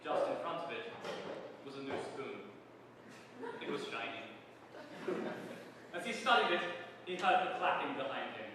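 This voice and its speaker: A man giving a theatrical dramatic reading of a comic novel to an audience, deliberate and full of dramatic pauses. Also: medium pitch, very animated. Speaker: reader performing for a live audience. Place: large reverberant room with an audience. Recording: very echoey hall, distant mic, noisy.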